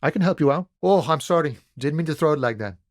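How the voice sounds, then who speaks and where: A man's voice: on the high side, expressive, fast, no echo, natural voice. Guy doing a sly laid-back union docker for a game, cowboy slang, lightish voice that swings up and down, super dry sound. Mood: smooth, friendly. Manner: folksy drifting drawl, theatrical, friendly address, cowboy slang. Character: easygoing, wily dockworker union striker with cowboy swagger. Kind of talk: video game character dialogue.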